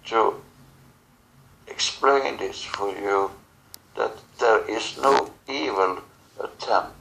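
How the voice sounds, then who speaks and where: A man who speaks English as a second language, giving solemn spiritual teaching in halting, short phrases as a channeler. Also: lowish voice, vocal fry, a bit bright, low-quality recording. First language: not English. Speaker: spiritual channeler answering questions.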